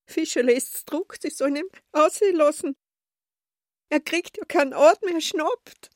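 A tiny, devoted hunchbacked woman from a radio play, voiced by an actress, piercingly high and frantic. She wails anxiously, in a dry studio. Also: normal speed, no echo, close to an everyday voice.

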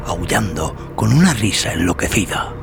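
A man narrating a horror tale in a solemn, deliberate, dramatic way with deliberate pauses. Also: low-pitched, very animated, gravelly. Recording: voice over prominent background music. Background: music.

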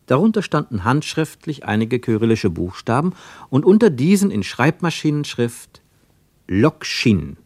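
A man narrating a literary radio play in a measured, precise, wry storytelling manner. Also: mid-range voice, sing-song, darkish tone, dry recording. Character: measured, wry male narrator of a travel tale.

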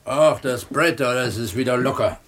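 An actor playing a down-to-earth, contented mine pump attendant, unhurried and colloquial, in a radio play. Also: male voice, medium pitch, noisy background, close to an everyday voice. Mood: matter-of-fact. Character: plain-spoken, contented male mine pump attendant who writes. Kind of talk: 1970s East German radio play acting.

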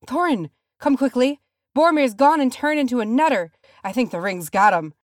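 A woman's voice: sits high, expressive, normal speed, dry recording, studio quality, voice pushed up. A woman voicing a frantic male dwarf in a fantasy story, out of breath and gasping out urgent broken phrases. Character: frantic young male dwarf, panting with alarm.

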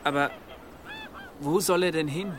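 Man acting an obedient, higher-voiced young monk. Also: measured pace, background noise, lightly acted. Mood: eager. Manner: deferential. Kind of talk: German radio drama acting.

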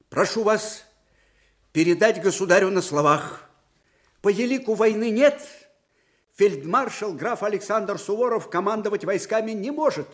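Proud, fiery old field marshal, animated. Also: male voice, high voice. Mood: resolute. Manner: theatrical, emphatic, with repeated phrases. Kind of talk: Soviet-era radio drama acting.

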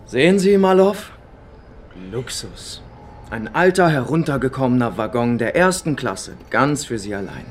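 Actor as a smug, spiteful Soviet officer. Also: male voice, mid-range voice, expressive, measured pace, slight background noise, close to an everyday voice. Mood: mock-polite, gloating. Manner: mock-polite, gloating, sarcastic. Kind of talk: German hardboiled radio crime drama acting.

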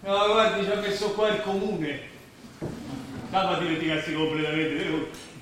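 A man's voice: high-pitched, fairly even intonation, normal speed, lightly acted. A man acting in an Italian stage drama, playing a blunt, wry working-class labourer. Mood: wry, wary. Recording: very echoey hall, distant, faint noise.

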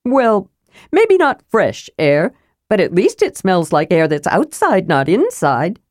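A woman voicing a small, cheerful, curious male kender, high, bright and eager. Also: huge pitch swings, slow, no echo, clean studio sound.

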